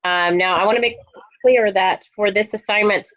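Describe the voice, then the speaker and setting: A woman's voice: medium pitch, steady tone, moderate pace, fairly bright tone. A woman instructor who is losing her voice, giving an online course lecture into a headphone mic and hesitating often with ums. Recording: dull lo-fi recording.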